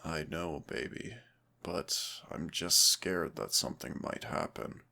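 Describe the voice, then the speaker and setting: A man's voice: rumbling low, slow pace, gravelly, bright, forceful tone, full character voice. A man playing an affectionate, cautious and playful boyfriend in an intimate audio roleplay, speaking in a tender, reassuring, conversational way. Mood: worried, tender.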